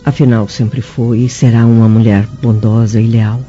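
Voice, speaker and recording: A woman's voice: rumbling low, level tone, normal speed, mellow, faint music behind. An actress playing a proud, disapproving old Roman noblewoman in a radio drama, speaking in a formal, theatrical manner. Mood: measured reproach. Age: older. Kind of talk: dramatic radio play acting. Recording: muffled radio drama recording.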